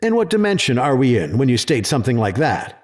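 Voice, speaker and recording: A man's voice: medium pitch, sing-song, a little fast. An actor playing a scornful, sarcastic senior official in an audio drama, dismissive of what he hears. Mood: skeptical. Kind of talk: dramatic audio fiction acting.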